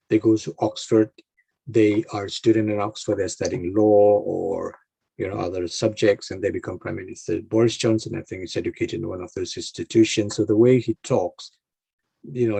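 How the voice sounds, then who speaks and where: A man's voice: fairly low pitch, gravelly, mellow, husky, no room sound. A man giving an informal, conversational explanation to a group of learners, often restarting phrases and checking in with "right?". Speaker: guest speaker at an English club.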